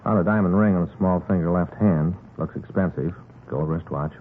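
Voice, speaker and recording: An American actor playing a methodical, matter-of-fact police detective in a 1950s radio drama, in clipped, businesslike sentences. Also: male voice, low voice, a little fast, close to an everyday voice. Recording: noisy, tinny 1950s radio recording.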